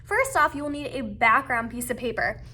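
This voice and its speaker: A woman with a bright, somewhat high voice presents a how-to lesson for children. She talks casually and encouragingly, like a teacher walking young listeners through each step of a craft. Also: normal speed, a little hiss or hum. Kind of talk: friendly instructional how-to for kids.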